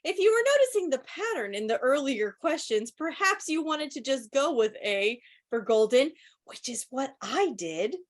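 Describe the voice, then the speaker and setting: High-voiced woman hosting casual trivia. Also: very animated, moderate pace. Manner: wry, joking asides. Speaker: quiz host. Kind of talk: casual live trivia commentary. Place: online video meeting.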